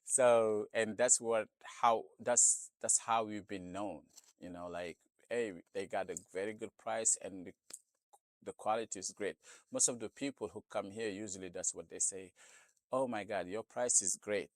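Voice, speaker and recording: Store owner interviewee: man with a Senegalese accent, non-native English, low-pitched, conversational, close dry sound. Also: normal speed, no room sound. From Senegal, West Africa. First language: not English. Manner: conversational, often adds "you know". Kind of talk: casual interview answers.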